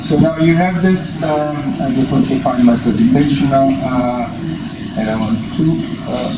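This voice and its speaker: A man in his fifties giving an explanatory lecture in a deliberate, step-by-step way, presenting from a lectern. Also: mid-range voice. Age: 50s. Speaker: presenter, eye surgery specialist. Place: small indoor meeting room at a lectern. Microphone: distant camera microphone. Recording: echoey, noisy room, distant microphone.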